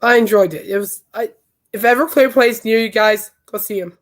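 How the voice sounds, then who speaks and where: A woman hosting a podcast in casual rambling chat, her voice hoarse and raspy from being sick, telling stories with enthusiasm. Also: fairly high pitch, normal speed. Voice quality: raspy, worn throat. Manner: chatty, enthusiastic storytelling. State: hoarse, voice shot from illness. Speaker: podcast host.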